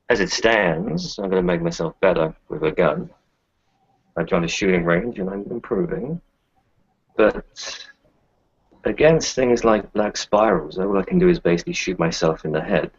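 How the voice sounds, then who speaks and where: A man's voice: low-pitched, husky, dull audio, full character voice. A man playing a defensive, determined doctor in an improvised roleplaying game. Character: defensive, determined male doctor arming himself with guns. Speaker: player in an online roleplaying session. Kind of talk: in-character improvised roleplay talk.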